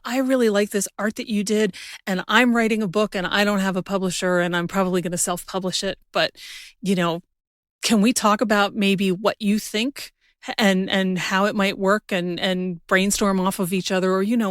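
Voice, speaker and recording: A woman's voice: mid-range voice, dry recording, studio quality. A woman hosting a podcast in casual conversation, informal and chatty as she thinks aloud. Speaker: podcast host.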